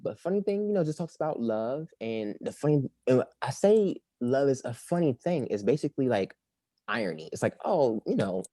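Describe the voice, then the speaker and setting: Slightly raspy male musician, dry sound. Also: medium pitch, normal speed, no echo. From Maryland. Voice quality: a little raspy. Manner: rambling, animated, breaks into song. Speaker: musician guest. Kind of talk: casual interview chat.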